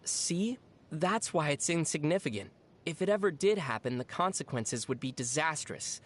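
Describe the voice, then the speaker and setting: An actor playing a young traveler in a video game, speaking in a measured, thoughtful, dry and gently teasing way. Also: mid-range voice, expressive, lightly acted. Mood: reassuring. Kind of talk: scripted video game dialogue.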